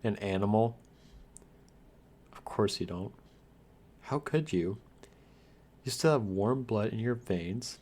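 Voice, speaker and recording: A man's voice: on the low side, slow pace, long pauses, a little mellow. A man playing a lonely, guarded undead vampire, speaking straight to the listener in a wry, theatrical ASMR roleplay. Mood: indignant.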